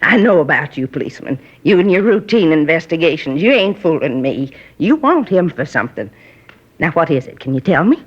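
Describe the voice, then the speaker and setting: An actress playing a gossipy, nosy middle-aged neighbor who rambles and confides in folksy grammar, in a 1950s radio drama. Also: female voice, deep voice, sing-song, fairly quick, breathy edge, exaggerated voice. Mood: prying. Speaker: apartment neighbor questioned by police. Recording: dull lo-fi 1950s radio recording.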